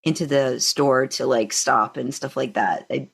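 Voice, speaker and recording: A woman's voice: very deep voice, steady tone, measured pace, creaky, husky. A woman co-hosting a podcast, talking through her opinions in a casual, conversational way with hedging asides. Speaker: podcast co-host; narrator by trade. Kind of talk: casual fan discussion.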